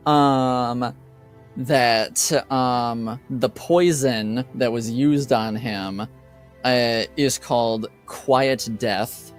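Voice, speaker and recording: A man speaking as a game master in a casual, halting way, pausing mid-sentence as he narrates. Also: medium pitch, clear, resonant tone.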